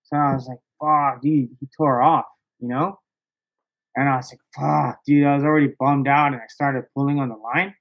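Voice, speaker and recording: A man telling a story casually as a podcast guest, in a slangy, excitable way with plenty of swearing. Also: mid-range voice, moderate pace, lo-fi sound. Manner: slangy, excitable, profanity-laced, peppered with "bro" and "dog".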